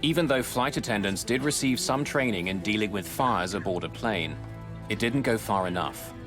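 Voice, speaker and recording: Measured male documentary narrator over music. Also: on the low side. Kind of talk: scripted dramatic documentary narration. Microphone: close studio voice-over mic. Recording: music bed under narration.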